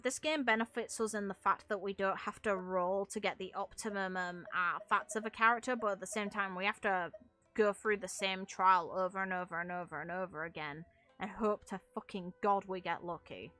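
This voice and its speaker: A woman's voice: medium pitch, a little fast, bright, forceful tone, faint music behind. A woman streamer giving casual, opinionated gaming commentary in a blunt, emphatic, sweary way. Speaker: game streamer and video creator.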